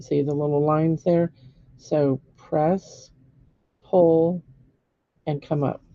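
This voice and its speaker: A middle-aged woman with a hoarse voice, giving a live painting lesson step by step and checking in with viewers. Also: sits low, dark tone, no echo, highs cut off. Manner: patient step-by-step instruction with frequent "okay?" checks. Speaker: painting teacher. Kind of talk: live-streamed painting demonstration.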